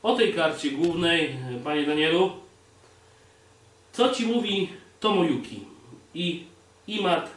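A man's voice: on the high side, lively intonation, slow, pauses often, fairly bright tone. A man co-hosting a sports podcast, chatting casually and without a script. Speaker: podcast co-host. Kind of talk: casual unscripted sports chat. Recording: roomy echo, clear background noise.

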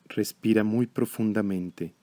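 A man leading a guided meditation in a calm, measured, instructional way. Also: fairly low pitch, dry recording.

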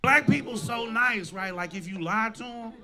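Casting: loud American male stand-up, high register, punchy dialogue bits, audible club crowd. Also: moderate pace. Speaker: stand-up comedian. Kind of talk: stand-up comedy with acted-out dialogue. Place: indoor comedy club stage. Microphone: handheld stage mic. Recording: live comedy club with loud audience.

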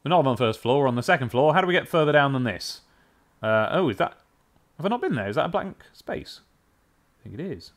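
A man's voice: medium pitch, animated, quick pace. A man giving chatty game commentary, thinking aloud and reading in-game text out. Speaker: gaming video host. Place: voice-over on game capture.

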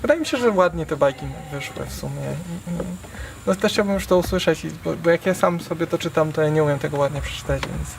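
A man speaks casually in an interview, stumbling and repeating words. His voice is creaky and a little high. He sounds off-mic, with music behind him. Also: fast, far from the mic, background noise. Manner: hesitant, stammered repetitions. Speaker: scriptwriter.

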